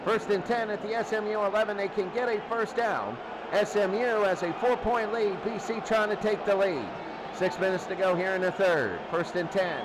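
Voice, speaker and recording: A man calling football play by play in short, clipped, excitable phrases. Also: sits high, moderate pace. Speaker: play-by-play sports commentator. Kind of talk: live American football commentary. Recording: noisy crowd bed behind commentary.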